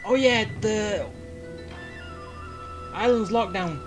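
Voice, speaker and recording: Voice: mid-range voice, slow pace. A casual game streamer chats through a playthrough, reacting to the story. Faint game music plays behind the voice.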